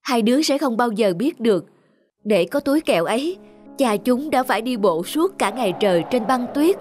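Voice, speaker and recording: A poor, worried mother in a cartoon drama. Her voice is high and her manner tender and concerned, with clear music underneath. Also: lively intonation, normal speed, a little mellow. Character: caring, worried poor cobbler's wife, a mother.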